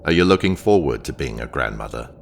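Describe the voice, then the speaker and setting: A man's voice: rumbling low, expressive, fast, creaky, a little hiss or hum, heavily acted. A man playing a young British working-class husband and son in an audio drama, informal, dry and teasing. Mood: gentle.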